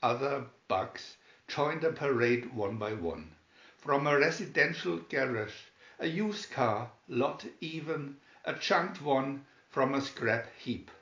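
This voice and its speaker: A man reading a children's adventure story aloud with measured, deliberate phrasing, recorded in an echoey room. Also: medium pitch, animated, fairly bright tone, lo-fi sound. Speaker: storybook narrator.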